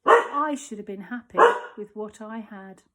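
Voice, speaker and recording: A woman's voice: medium pitch, measured pace, background noise, close to an everyday voice. A woman voicing a greedy, jealous male dog in a storybook, speaking eagerly and whining when things go wrong. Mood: whiny. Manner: eager and whiny, louder than the narration.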